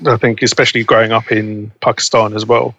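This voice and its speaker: A young man as an interview guest, chatting casually and relaxed, laughing easily. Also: lowish voice, a little fast, dull audio, a little hiss or hum. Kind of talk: casual conversation.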